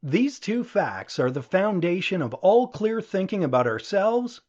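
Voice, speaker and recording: A man with a fairly high voice reads an audiobook lecture in a slightly muffled, lo-fi recording. His pitch moves widely as he stresses his points. The phrasing is measured and rhetorical, like someone reasoning aloud with listeners. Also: very animated, darkish tone. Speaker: audiobook narrator. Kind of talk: audiobook reading of a conversational lecture.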